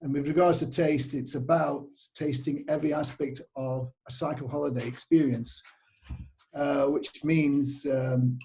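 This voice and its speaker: Dark-toned British man, rambling. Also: on the high side, steady tone, unhurried, creaky. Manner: rambling, frequent fillers and restarts. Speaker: cycling tour operator. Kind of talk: casual interview.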